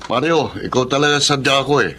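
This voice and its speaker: An actor in a radio drama playing a concerned, protective uncle with a Filipino accent, plain-spoken and questioning. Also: male voice, mid-range voice, fast talker, sharp tone, a little hiss or hum, lightly acted. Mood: familiar, firm. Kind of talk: Tagalog radio drama acting.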